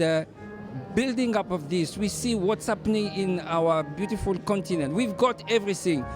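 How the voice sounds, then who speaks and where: A man from Congo with a Congolese accent, a reverend answering interview questions at a dinner event, earnest and halting with many fillers and restarts. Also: high-pitched. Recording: music playing behind.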